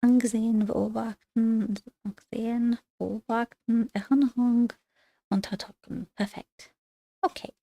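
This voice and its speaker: A woman with a slightly high voice works through language exercises, slipping between reading aloud and casual asides. She mutters and trails off while she checks answers, full of little fillers. Her voice comes through dry and close, with no room around it. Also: fairly even intonation, a little slow, vocal fry, warm tone, no echo. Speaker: language learner studying on a livestream.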